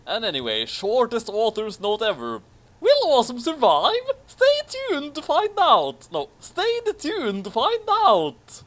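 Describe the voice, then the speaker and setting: A man's voice: extremely high pitch, wildly swooping pitch, unhurried, fairly bright tone. A man co-hosting a casual commentary, reading a story aloud theatrically and adding dry, sarcastic asides.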